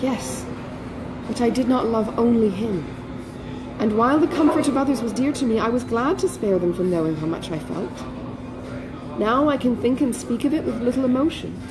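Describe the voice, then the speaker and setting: A woman voicing a self-controlled, dutiful elder sister in an audiobook, earnest, measured and restrained. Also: a little high, expressive, close to an everyday voice, rough recording. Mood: gently resolute.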